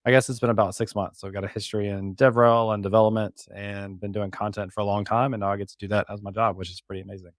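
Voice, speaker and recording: A man with a fairly low voice speaks as an interview guest. He is casual and conversational, and the sound is dry. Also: flat delivery, quick pace, hardly any pauses, dry recording. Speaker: podcast guest, content creator.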